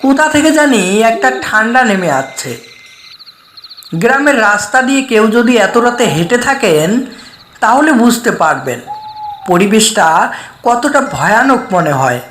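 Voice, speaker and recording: An echoey recording carries a woman's slow, measured horror narration. She reads a scripted tale with suspense, over faint cricket-like night ambience. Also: fairly high pitch, animated, fairly bright tone. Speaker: story narrator. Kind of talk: scripted first-person horror story narration. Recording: echoey voice with faint background noise.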